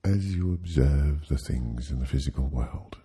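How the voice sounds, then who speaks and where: A man leading a guided sleep hypnosis, speaking soothingly and gently in short, halting phrases with long pauses. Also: a bass voice, husky.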